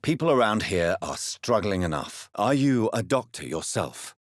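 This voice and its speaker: A man playing an earnest, stern gentleman doctor of early twentieth-century England, secretly a vampire, in a video game, with formal, measured, intense diction. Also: on the low side, very animated, no echo, clean studio sound. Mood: stern, indignant. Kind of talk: dramatic video game voice acting.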